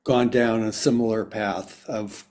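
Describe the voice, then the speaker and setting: A man's voice: lowish voice, steady tone, a little slow, a little mellow. A man moderating a conversation as host, talking in a casual, conversational style.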